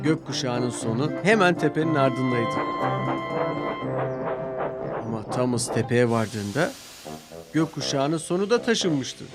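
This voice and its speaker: A man narrating a children's story in an expressive, scripted storytelling style. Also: medium pitch, normal speed, loud music behind the voice.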